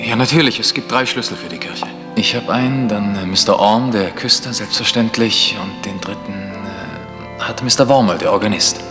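An actor playing a courteous, anxious village vicar in a radio crime drama, speaking politely and hesitantly. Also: male voice, fairly low pitch, moderate pace, natural voice. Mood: troubled, hushed. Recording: reverberant hall, faint music.

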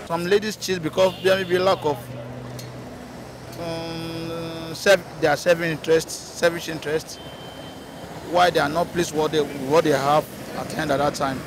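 A man's voice: fairly high pitch, little pitch movement, unhurried. A young Nigerian man in a street interview, hesitant and searching for his words. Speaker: passerby interviewee. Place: busy city roadside outdoors. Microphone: handheld mic held by interviewer. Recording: outdoor street with traffic noise.

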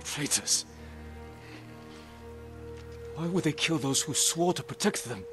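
An actor playing an earnest, honourable samurai warrior in a video game, speaking in a solemn, formal, measured way. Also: male voice, a little high, expressive, lightly acted. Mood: outraged. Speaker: game character. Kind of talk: dramatic video game acting. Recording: music clearly behind the voice.

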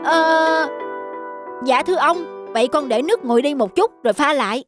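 A woman voicing a young, plain-spoken servant in an animated folktale. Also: high voice, normal speed, music in the background, lightly acted.

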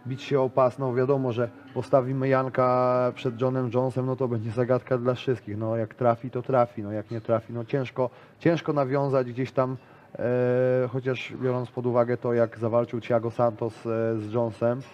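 A man's voice: medium pitch, little pitch movement, moderate pace, darkish tone, muffled recording, faint music behind. A man in his thirties, a grappler and interview guest, talking casually in a small studio, rambling with lots of fillers and restarts. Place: small studio with a decorated wall.

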